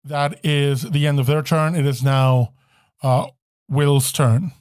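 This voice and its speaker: Halting male game master, fairly high voice. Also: steady tone, a little mellow, smooth, dry recording, studio quality. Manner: halting, self-correcting. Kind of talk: improvised tabletop game narration.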